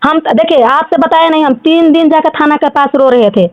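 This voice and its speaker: A woman's voice: sits high, normal speed. A woman with a Jharkhand Bhojpuri-influenced Hindi accent, arguing insistently and repeating her points in a heated phone conversation. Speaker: caller in a personal dispute. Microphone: phone call. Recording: dull lo-fi recorded phone call.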